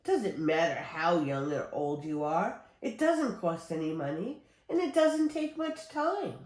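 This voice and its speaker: Older woman reading slowly, very animated. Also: lowish voice. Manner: simple, deliberate phrasing aimed at young children. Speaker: storyteller reading to children. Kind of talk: expressive read-aloud of a children's picture book. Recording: roomy echo with background noise.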